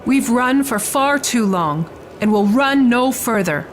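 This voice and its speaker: A woman's voice: fairly high pitch, animated, slight background noise. A woman playing a brave, devout holy knight in a fantasy game cutscene, speaking firmly and solemnly. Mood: resolute. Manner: measured, solemn, firm. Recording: music under the voice.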